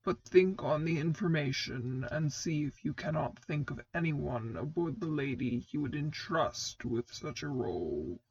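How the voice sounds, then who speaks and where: A woman voicing a stern, commanding male mentor in armor, with deep gravelly tones and formal, measured speech. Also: expressive, a little mellow, muffled recording, heavily acted. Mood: calm advising.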